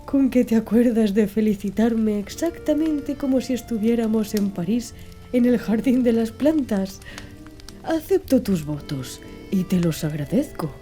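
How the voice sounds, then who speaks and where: Woman playing gentleman master, learned, formal, measured, courteous, very dark relaxed tone, audiobook, music behind. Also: a little high. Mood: courteous, reflective. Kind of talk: audiobook character dialogue.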